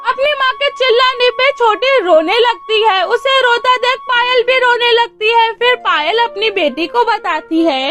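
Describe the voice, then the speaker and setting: A woman storyteller with an extremely high-pitched voice. She reads a moral tale as scripted narration, carrying the events along between the dialogue. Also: measured pace, hardly any pauses, over music.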